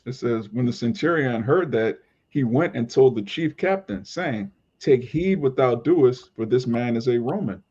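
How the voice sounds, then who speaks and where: A man's voice: mid-range voice, lively intonation, a little slow, few pauses, darkish tone, husky. A man reading scripture aloud for a study group, in dull lo-fi audio. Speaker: scripture reader.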